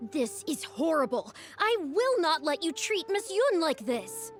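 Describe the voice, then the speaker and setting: Young female junior reporter, video game: indignant, hesitant, emotional, very high and animated. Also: slow pace, faint music behind, heavily acted. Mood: appalled, defiant.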